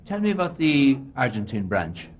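A man conducting a radio interview, asking gently probing questions. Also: mid-range voice, very animated, moderate pace, dull audio.

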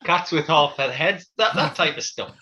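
A man's voice: on the high side, normal speed, sharp tone, slight background noise. A man, co-hosting a casual chat with dry, self-mocking jokes. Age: 40s. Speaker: podcast co-host. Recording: dull lo-fi sound.

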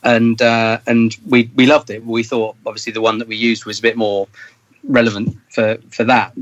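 A man's voice: mid-range voice, flat delivery, normal speed, no echo, lo-fi sound. A British man chatting casually as a band member in an interview, rambling and thinking aloud as he goes.

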